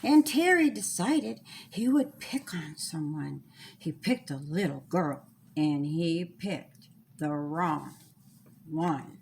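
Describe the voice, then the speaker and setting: A woman reading a children's storybook aloud in a deliberate, sing-song rhyming way with dramatic pauses, as the author. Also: low voice, expressive, gravelly, darkish tone, a little hiss or hum.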